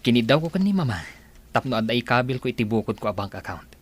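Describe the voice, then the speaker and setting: A rich young man's son, played by a man speaks in a story drama. The voice is mid-pitched and husky, that of someone who wants to stand on his own. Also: very animated, fast talker. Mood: eager, determined.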